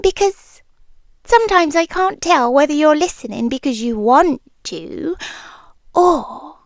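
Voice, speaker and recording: Slow, high-voiced British monster woman, played by an actress. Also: expressive, no echo, studio quality, full character voice. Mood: anxious longing. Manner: slow, intimate, drifting into whispers. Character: ancient female forest monster, possessive, lovelorn captor. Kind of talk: yandere roleplay ASMR. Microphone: close microphone for headphones.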